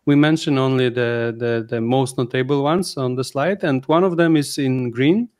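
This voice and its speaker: Male co-host, mid pitch, clear resonant voice, hesitant questioner. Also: moderate pace, a little mellow. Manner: hesitant, repeating words. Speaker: podcast co-host. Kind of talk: asking interview questions.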